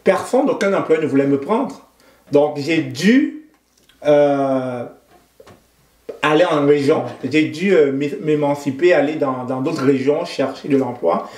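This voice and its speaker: A man from Cameroon, a guest in an interview, speaking in a thoughtful, measured way with frequent hesitations. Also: a little high, darkish tone, echoey room. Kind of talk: conversational interview.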